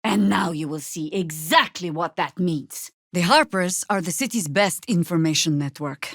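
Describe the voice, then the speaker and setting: A seasoned druid warrior woman from a fantasy game. Her voice sits slightly low, recorded very dry. She is sardonic and world-weary but resolute, speaking in measured, commanding phrases. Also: dry recording. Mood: determined. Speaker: video game voice actress. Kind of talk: scripted fantasy game dialogue with shouted spell incantations.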